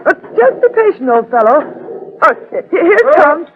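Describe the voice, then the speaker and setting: High-voiced, coaxing young wife, tinny old radio. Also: female voice, sing-song, normal speed, a crowd in the background. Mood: coaxing, playful. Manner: coaxing, playful, reassuring. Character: gentle, trusting wife who coos to a caged gorilla. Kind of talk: 1940s radio drama acting. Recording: tinny, band-limited old radio recording.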